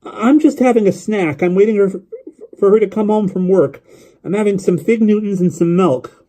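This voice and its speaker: A man voicing a lonely, eager young boy on the phone in an audiobook story, guileless and rambling, with a childlike high-pitched voice. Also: moderate pace, mellow. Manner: guileless, rambling, repetitive, full of questions.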